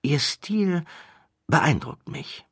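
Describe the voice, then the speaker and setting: Audiobook role: polite, suave aristocratic swindler played by a male narrator, formal, pitched up from his natural register. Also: expressive, extremely slow, a bit bright, slightly rough, no room sound, studio quality, heavily acted. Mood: courteous, guarded. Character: suave, courteous male count and con man. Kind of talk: acted audiobook dialogue.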